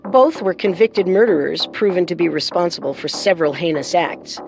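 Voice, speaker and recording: A woman co-hosting a scripted true-crime programme, narrating in a measured, serious way with music behind her. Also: lowish voice, gravelly, darkish tone. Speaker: co-host narrator.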